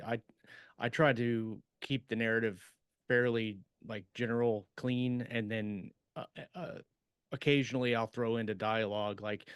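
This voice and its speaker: A man co-hosting a podcast, chatting casually and haltingly as he thinks aloud about writing. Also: on the low side, clear, resonant tone, no room sound, studio quality. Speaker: podcast co-host, science fiction author.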